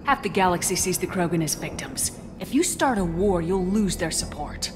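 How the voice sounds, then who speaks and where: An actress playing a tough, level-headed military commander in a video game, speaking in a firm, direct, probing way. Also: female voice, on the low side, lively intonation, normal speed, over music, lightly acted. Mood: persuasive. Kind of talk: dramatic video game acting.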